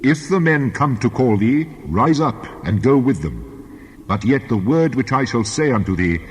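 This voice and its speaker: A man's voice: mid-range voice, lively intonation, measured pace, close to an everyday voice. A man playing God as a solemn, commanding divine voice in a dramatized scripture reading. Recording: long cavernous reverb.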